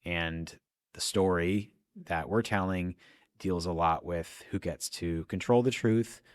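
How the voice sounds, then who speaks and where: A low-pitched American man gives reflective interview answers about his own work. The sound is dry, with the unscripted phrasing of conversation. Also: slow, clean tone, no echo. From central Illinois, USA. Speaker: audio drama writer and director.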